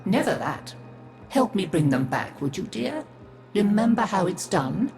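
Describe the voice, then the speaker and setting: An actress playing a kindly, dotty old grandmother in a game, speaking in a gentle, folksy singsong. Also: mid-range voice, sing-song, unhurried, creaky, soft background music. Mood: wistful, soothing. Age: older. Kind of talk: video game character acting.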